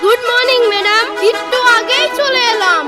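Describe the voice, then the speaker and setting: A woman's voice: extremely high pitch, moderate pace, over loud music. A woman voicing a cheeky, eager little ghost child in a comic cartoon, with a childish way of talking.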